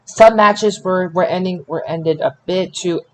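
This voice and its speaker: A man hosts a casual sports podcast in a fairly high-pitched voice. He talks slowly and haltingly, stretching out results as he announces them. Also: a little hiss or hum, poor audio. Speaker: podcast host.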